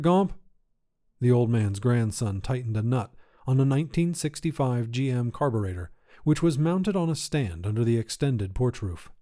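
A man's voice: mid-range voice, dark tone. A man narrating a scripted short story as its reader.

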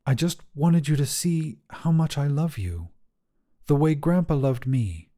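A man voicing a frustrated but loving mother in a narrated short story, speaking in an earnest, halting way. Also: a little high, dark tone, voice pushed up, lightly acted. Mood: earnest, apologetic.

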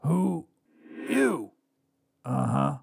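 Casting: male narrator as incredulous ordinary guy in an audio short story, wary, questioning, slightly raised pitch. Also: sing-song, very slow, creaky, a little mellow, slight background noise, exaggerated voice. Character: bewildered, sceptical man facing his future self.

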